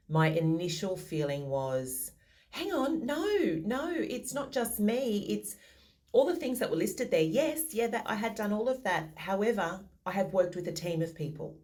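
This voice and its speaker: A woman's voice: mid-range voice, darkish tone. A modest, thoughtful woman answering interview questions over a video call. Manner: modest, thoughtful, some restarts.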